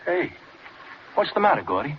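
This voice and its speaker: A man's voice: fairly high pitch, big pitch swings, moderate pace, slight background noise. An actor playing a breezy, enthusiastic male party guest, jovial and slangy in 1940s radio style. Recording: muffled old radio recording.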